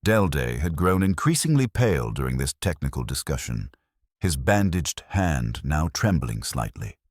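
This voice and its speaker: A man narrating a scripted mystery story in a measured, formal, literary manner. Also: extremely low pitch, very animated, no room sound, clean studio sound. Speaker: audiobook narrator.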